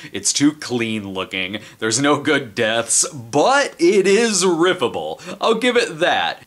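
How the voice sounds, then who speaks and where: A man in his thirties hosting a comedic movie review, sarcastic and animated, slipping into mock voices for quoted reactions, recorded in a small indoor room. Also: mid-range voice. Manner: sarcastic, animated, mimics characters in asides. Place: small indoor room in front of a video shelf.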